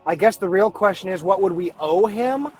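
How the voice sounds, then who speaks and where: High-voiced, animated man over music. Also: normal speed. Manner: conversational, reasoning aloud. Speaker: tabletop roleplaying game player. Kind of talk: casual strategizing and banter in a tabletop game. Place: online group voice call, livestreamed game.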